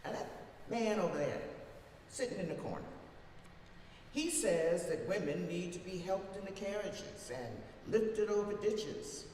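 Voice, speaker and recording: A woman's voice: fairly low pitch, animated, mellow. Dramatic woman orator in a very echoey hall. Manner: emphatic, theatrical, with long deliberate pauses. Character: formerly enslaved older woman orator, plain-spoken, defiant, wry. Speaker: reader performing a historical speech. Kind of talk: dramatic live recitation of an old oration. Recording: very echoey hall from far off, faint noise.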